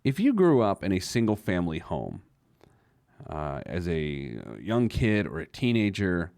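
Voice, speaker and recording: A man's voice: low-pitched, huge pitch swings, unhurried, vocal fry, darkish tone, dry recording, clean studio sound. An American man in his late thirties hosting a casual radio show on his own, rambling along in a wry, conversational way. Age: late 30s. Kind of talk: casual radio monologue on personal finance.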